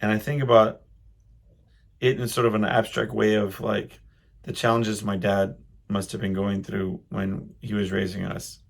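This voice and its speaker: A middle-aged man with a low, gravelly voice talks alone as a podcast host. He thinks aloud in a casual, halting ramble, circling back over his own phrases. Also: little pitch movement. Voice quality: loud voice. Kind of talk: casual solo monologue, personal reflection.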